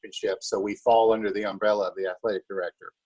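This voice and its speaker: A man being interviewed as a school drama club director, explaining in a casual, halting way. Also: fairly low pitch, little pitch movement, darkish tone.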